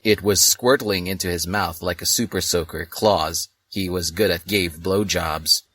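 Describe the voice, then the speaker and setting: A man giving a deadpan, sarcastic comedic reading of a fan story on a close home mic. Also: low-pitched, a little slow, no echo. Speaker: online story reader.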